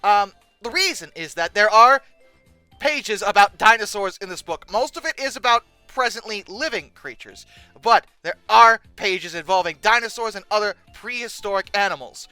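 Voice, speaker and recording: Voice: medium pitch, lively intonation, moderate pace, bright, forceful tone, clear, resonant tone, no echo, faint music behind. A video host giving casual, humorous commentary in a sarcastic, exasperated manner with dramatic emphasis.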